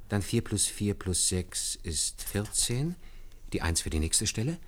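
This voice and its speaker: A man's voice: on the low side, a bit bright. An actor playing an earnest, deferential man who patiently explains hand arithmetic step by step in a German radio play. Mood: earnest, concentrating. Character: earnest, deferential male calculator demonstrating hand arithmetic to superiors.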